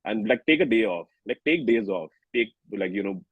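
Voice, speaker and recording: A man with an Indian accent speaking reflectively as an interviewed singer-songwriter, haltingly and often rephrasing himself. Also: fairly low pitch, lively intonation, husky, dry recording, phone-quality sound. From New Delhi, India.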